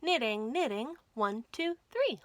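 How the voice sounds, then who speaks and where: A woman hosting a children's storytime, reading stories and nursery rhymes aloud in an expressive, clearly enunciated way for young listeners. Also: a little high, unhurried, a little hiss or hum. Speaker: children's storytime host at a library.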